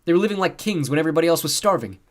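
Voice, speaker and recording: A man voicing an earnest, easily exasperated teenage boy who explains things haltingly and insistently as an amateur newscaster in a story. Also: a little high, animated, voice pushed up, close to an everyday voice.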